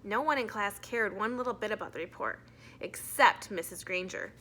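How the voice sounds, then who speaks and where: A woman's voice: medium pitch, moderate pace, pushed and bright. A woman narrating a children's storybook as a teacher reading aloud to a class.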